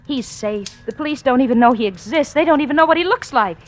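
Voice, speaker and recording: An actress playing a cold, manipulative femme fatale in a 1940s radio drama. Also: female voice, sits high, lively intonation, quick pace. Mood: urgent. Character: cold, scheming female femme fatale, sweet when manipulating. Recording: noisy, clicky old radio recording.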